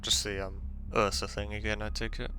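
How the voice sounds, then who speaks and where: A man's voice: fairly low pitch, level tone, a little fast, music in the background. A man giving casual play-along commentary as he streams a game.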